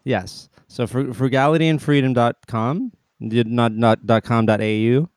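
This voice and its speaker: A man hosting a casual podcast interview, talking in a relaxed, rambling way with many restarts. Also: mid-range voice, moderate pace, clear, resonant tone, no room sound, clean studio sound. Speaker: podcast host.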